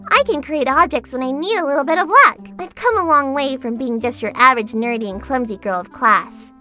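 A woman plays an earnest teenage heroine from a cartoon comic dub. Her voice is very high and bright, with lively swings in pitch and a girlish, determined delivery. Music plays behind her. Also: heavily acted. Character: perky teenage superhero girl, earnest and determined. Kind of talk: fan comic dub voice acting.

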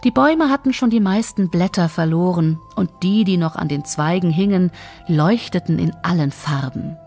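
Gravelly low-voiced woman storyteller over music. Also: moderate pace, darkish tone. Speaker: fairy tale narrator. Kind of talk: scripted storytelling narration.

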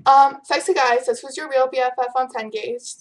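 A teenage girl talks casually into her webcam from a room at home, teasing and giggling as she reads viewer questions. Her voice sits a little high. The sound is the plain pickup of a built-in webcam mic. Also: fairly even intonation, normal speed, low-quality recording. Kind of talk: casual chat. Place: home room with bright window.

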